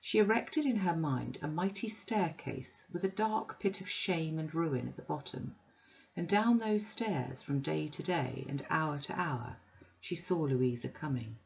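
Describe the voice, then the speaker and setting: Female audiobook reader, measured delivery, some vocal fry, muffled recording. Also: deep voice, expressive. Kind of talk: classic novel audiobook narration. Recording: dull lo-fi recording.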